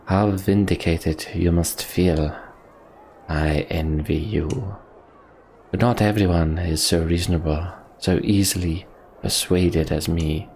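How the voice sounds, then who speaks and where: Man acting a sly, gravelly mocking rival. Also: low-pitched, fairly even intonation, slow pace, darkish tone, slight background noise, pitched below the performer's own voice. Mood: low, sardonic. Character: sly, mocking male rival rousing a crowd. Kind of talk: voiced video game dialogue.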